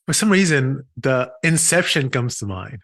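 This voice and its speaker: A man's voice: mid-range voice, very animated, normal speed, fairly bright tone, dry recording, clean studio sound. Earnest Haitian-born middle-aged man. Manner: earnest storytelling with frequent restarts. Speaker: physician and patient experience consultant. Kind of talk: podcast interview answers.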